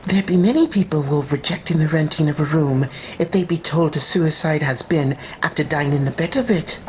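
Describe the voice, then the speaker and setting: A woman's voice: low-pitched, brisk, darkish tone, slight background noise, lightly acted. A woman voicing gossipy, deferential housekeepers with a folksy manner in a dramatized story reading. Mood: gossipy, conspiratorial. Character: Irish landlady housekeepers, gossiping, businesslike, flattering older women.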